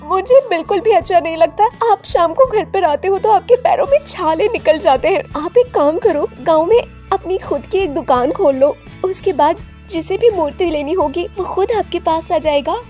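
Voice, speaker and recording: A woman's voice: very high-pitched, expressive, moderate pace, mellow. A woman playing a caring, hopeful young daughter in a cartoon story, earnest and pleading. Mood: worried, pleading. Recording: music under the voice.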